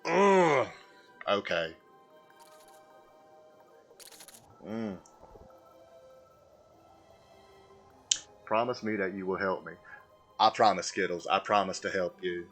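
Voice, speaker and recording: A man's voice: low-pitched, animated, normal speed, a bit bright, heavily acted. Man putting on a folksy, simple Southern drawl. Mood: earnest, resigned. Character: slow, simple, earnest Southern man, film-character impression. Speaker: video game streamer. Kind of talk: livestream gaming chat held in a put-on voice. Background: music.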